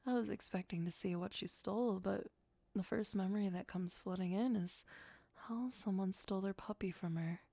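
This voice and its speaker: A woman playing a possessive, sweetly menacing vampire girlfriend who is centuries old, in a soft, intimate ASMR roleplay, mostly whispered and close to the microphone. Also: medium pitch, moderate pace, dry recording. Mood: playful, musing. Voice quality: breathy, soft. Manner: coaxing, darkly playful, obsessive. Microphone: close, dry microphone.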